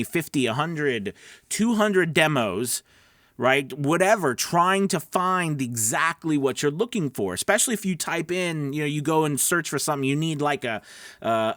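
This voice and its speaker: A man's voice: mid-range voice, fairly bright tone, no room sound, studio quality. A man talking casually as a host giving advice, conversational and emphatic, often checking in with short questions to the listener. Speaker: host of a voice-over advice channel, voice actor. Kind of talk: casual explanatory monologue to camera.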